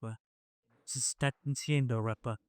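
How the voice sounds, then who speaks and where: A man's voice: medium pitch, fairly even intonation, a little slow, darkish tone, no echo. A man hosting a podcast, chatting in an offhand, casual way while sorting out equipment. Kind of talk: casual chat. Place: podcast studio.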